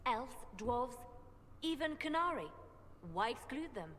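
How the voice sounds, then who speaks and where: An actress playing an idealistic woman spymaster who argues for reform with measured, persuasive conviction in a video game. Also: high voice, animated, a bit bright. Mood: impassioned, persuasive. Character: idealistic, reform-minded woman spymaster seeking high church office. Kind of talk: scripted video game dialogue. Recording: long hall reverb, faint noise and ambience.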